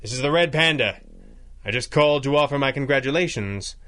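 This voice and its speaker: A man plays a suave masked hero of an old-time radio adventure. His voice is medium in pitch, with a measured, articulate delivery. He comes across as a thoughtful, well-heeled boss with a dry turn of phrase. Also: animated, a bit bright, lightly acted. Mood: cordial. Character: suave, thoughtful wealthy masked crime-fighter, calm boss. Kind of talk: 1930s-style radio drama acting.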